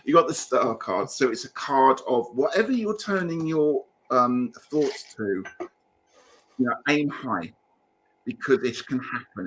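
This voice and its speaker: A man with a British accent giving gentle, reassuring tarot readings over a video call. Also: medium pitch, rough recording. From Britain. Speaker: guest tarot reader. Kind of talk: casual livestream tarot reading.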